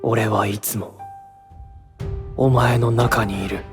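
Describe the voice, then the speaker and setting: Sinister dark twin of a young knight; low, slow, tempting. Fantasy voice drama, music underneath. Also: male voice, flat delivery, creaky. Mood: menacing, ominous. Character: menacing shadow double of a young knight, tempting him toward hatred.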